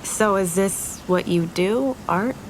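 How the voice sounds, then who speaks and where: A woman's voice: mid-range voice, slow, slight background noise, close to an everyday voice, poor audio. An actress playing a wry, conciliatory woman in a naturalistic audio drama. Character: wry, conciliatory Mexican American woman seeking a truce.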